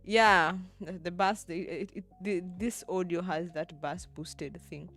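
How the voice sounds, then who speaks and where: A young woman in her twenties, live-streaming as she edits and chatting with viewers, thinking aloud with soft laughs. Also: on the low side, steady tone, measured pace, soft background music. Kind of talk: livestream, talking to chat while editing.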